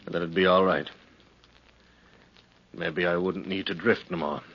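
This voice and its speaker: An American actor playing a lonely, grieving former ship's skipper in a radio western, speaking in a folksy, halting way. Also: male voice, low voice, steady tone, breathy edge, full character voice. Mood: wistful, halting. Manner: folksy, halting, plain-spoken. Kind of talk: 1950s radio western acting. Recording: dull vintage 1950s radio recording.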